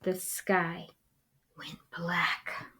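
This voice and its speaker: A woman reading a children's storybook dramatically and expressively, with deliberate pauses and hushed moments. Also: lowish voice, flat delivery, slight background noise. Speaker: school librarian reading aloud.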